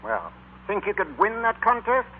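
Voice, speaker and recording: A man playing a gruff but kindly middle-aged American father, brisk and commanding, in 1940s radio drama style. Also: high-pitched, wildly swooping pitch, slight background noise. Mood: gruffly kind. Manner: brisk, commanding, clipped questions. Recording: tinny, narrow 1940s radio recording.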